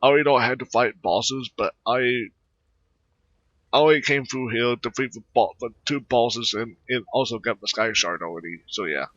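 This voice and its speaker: A man doing casual let's play commentary, halting and rambling as he thinks aloud. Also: medium pitch, a bit bright, no room sound, muffled recording. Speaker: gaming video host.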